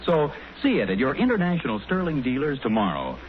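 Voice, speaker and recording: Animated American announcer, tinny 1940s radio. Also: male voice, medium pitch, a little fast, slight background noise. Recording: thin, phone-like 1940s radio broadcast.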